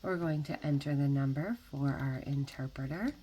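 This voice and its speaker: A woman narrating an instructional software tutorial as a trainer, explaining methodically one step at a time. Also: rumbling low, moderate pace, husky.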